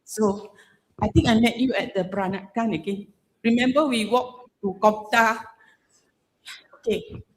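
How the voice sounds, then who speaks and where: A woman from the audience addressing the author, reminiscing in a somewhat halting way. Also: a little high, rough recording.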